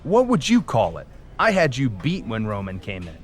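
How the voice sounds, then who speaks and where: An actor playing a competitive, self-assured wrestler with an assertive, earnest manner in a video game cutscene. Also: male voice, mid-range voice, wildly swooping pitch, normal speed. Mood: challenging.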